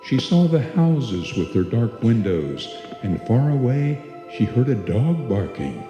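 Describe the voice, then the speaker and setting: A man's voice: mid-range voice, sing-song, measured pace. A man playing the grave narrator of a suspense tale in live old-time radio style. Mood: ominous. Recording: echoey hall, music behind.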